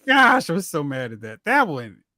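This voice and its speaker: Animated man hosting a casual movie podcast. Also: on the high side, normal speed, no echo. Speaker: podcast host. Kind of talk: casual movie talk banter.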